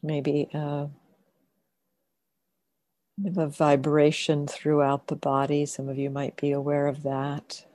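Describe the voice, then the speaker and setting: A woman meditation teacher guiding a meditation gently and unhurriedly, in short phrases with long pauses. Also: sits low, monotone, no room sound. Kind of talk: guided meditation.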